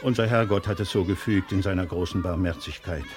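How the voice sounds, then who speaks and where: An actor playing a simple, pious country neighbour, matter-of-fact and mildly reproachful, in a German radio play. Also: male voice, deep voice, fairly quick, noisy background, natural voice. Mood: grave, resigned. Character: plain-spoken, pious rustic neighbour, Ola.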